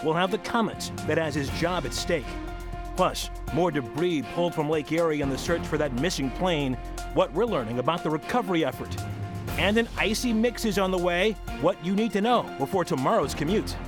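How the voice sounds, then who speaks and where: Higher-pitched man reading news teasers over music. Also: a little fast. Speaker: news headline announcer. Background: prominent music bed.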